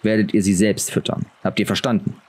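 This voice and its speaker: Male reader as middle-aged noble father for fantasy audiobook: stern, deep-set, deliberate authority. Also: flat delivery, darkish tone, lightly acted.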